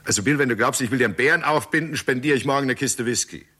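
An actor playing a keen, courteous astronomer in a 1960s German radio drama, explaining things emphatically and politely. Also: male voice, medium pitch, rapid-fire, pushed and bright, close to an everyday voice. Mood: insistent, eager. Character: keen, courteous male astronomer presenting an alarming discovery. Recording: 1960s radio recording with faint noise.

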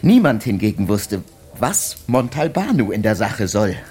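A man's voice: fairly low pitch, very animated, a little slow, a little mellow, breathy edge, full character voice. Agitated, cornered lovesick man. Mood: bitter, scornful. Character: cornered lovesick man, jealous, defensive, bitter, defiant under accusation. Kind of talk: German radio play acting.

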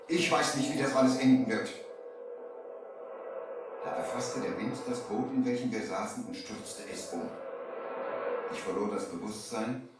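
A man's voice: mid-range voice, close to an everyday voice. A man playing a young merchant who narrates his sea adventure theatrically in a 1970s children's radio play. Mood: earnest, uneasy. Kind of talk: dramatic scripted storytelling in 1970s radio play. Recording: very echoey, slightly distant vinyl transfer.

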